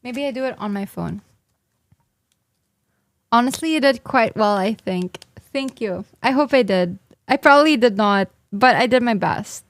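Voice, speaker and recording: A young woman streaming to her chat, talking casually in a high, bright register. She is blunt and sarcastic. The sound is dry and close to the mic. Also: a little slow, pauses often, no echo.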